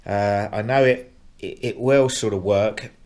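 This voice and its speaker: Guy, middle-aged, low flat voice, lots of uh's, talking thru his model build at home. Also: unhurried. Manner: hesitant, lots of uh fillers and restarts, plain explanatory. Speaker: model railway hobbyist. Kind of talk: casual show-and-tell progress update. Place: living room at home, indoors.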